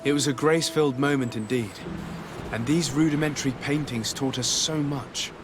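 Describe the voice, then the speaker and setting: Courteous, composed gentleman adventurer played by a man. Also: mid-range voice, normal speed, noisy background, natural voice. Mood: gentle, interested. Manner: polite, formal, restrained. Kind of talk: video game dialogue acting.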